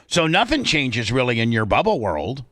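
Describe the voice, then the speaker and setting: A man's voice: mid-range voice, very animated, normal speed, fairly bright tone, no echo, studio quality. A man hosting a radio show in casual banter, rambling and repeating phrases for emphasis.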